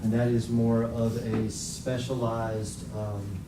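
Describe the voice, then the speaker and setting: A male attorney works through a witness with measured, leading questions, his delivery level and unvaried. He is picked up from across an echoing courtroom, the audio carrying a steady hiss. It sounds like a courtroom feed rather than a close microphone. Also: mid-range voice. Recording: echoey courtroom audio from far off, hissy.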